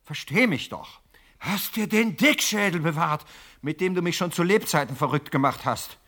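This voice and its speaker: An actor in a radio play as a scheming businessman who wheedles self-importantly and is quick to lose patience. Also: fairly high pitch, animated, fairly bright tone. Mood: exasperated. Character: scheming, hot-headed businessman haggling with a ghost.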